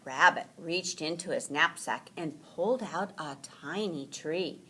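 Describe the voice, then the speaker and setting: A woman reading a children's story aloud in an animated, deliberate storytelling manner. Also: deep voice, a little hiss or hum.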